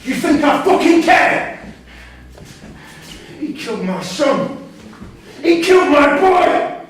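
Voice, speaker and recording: Male actor for violent, grieving convict: foul-mouthed, accusatory, slow delivery, very roomy live stage sound. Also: extremely high pitch, sing-song, full character voice. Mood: enraged. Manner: coarse, sweary, accusing. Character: menacing male prisoner, grieving father turning violent. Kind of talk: staged reading of a prison drama. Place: theatre room at a live staged reading. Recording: distant, very echoey hall, faint noise.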